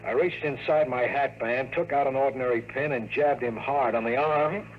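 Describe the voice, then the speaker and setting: A man's voice: medium pitch, moderate pace, dull audio, slight background noise, lightly acted. A man acting a cocky, sardonic American investigator in an old radio drama, pushy and wisecracking, with clipped slangy talk. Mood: wry narration. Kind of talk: 1950s radio drama acting with first-person narration. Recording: vintage radio recording with faint music.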